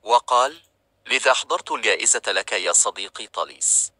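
Man reading a storybook, bright and dry. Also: fairly low pitch, expressive, fairly quick, no room sound. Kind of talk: scripted storybook narration.

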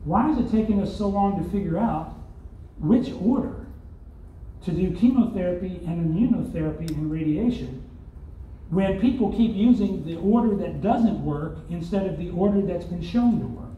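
A man's voice: sits high, expressive, moderate pace, slight background noise. Older man giving a keynote in an echoey hall. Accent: Southern US. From Arkansas, US. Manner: conversational, rhetorical questions, wry asides. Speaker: keynote speaker. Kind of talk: conference keynote speech. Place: large hotel conference hall. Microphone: far phone camera in audience. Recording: distant echoey hall, dull audience phone recording.

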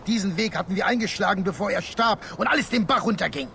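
An actor playing an intense, reproachful rebel leader in his thirties in a dramatic video game, forceful and accusing. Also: high voice, fast talker, creaky, slight background noise, heavily acted. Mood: heated, insistent. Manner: forceful, accusing, swinging from hushed to loud. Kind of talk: dramatic video game acting in German dub.

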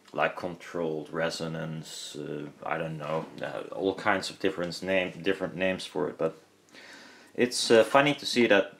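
A man with a low-pitched voice talks through a hands-on demonstration. He is casual and unscripted, wandering from point to point and hesitating as he works out his thoughts aloud. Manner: rambling, hesitant, thinking aloud. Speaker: hobbyist speaker builder and video maker.

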